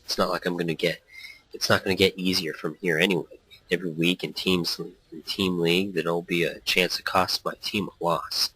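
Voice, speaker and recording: A man with a notably low voice works as a let's player, moving between story narration and loose, casual commentary. He tends to ramble. The sound is dry and close, with very little room in it. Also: sharp tone, husky, no echo, rough recording. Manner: hyped, tangent-prone. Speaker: let's player reading a visual novel aloud.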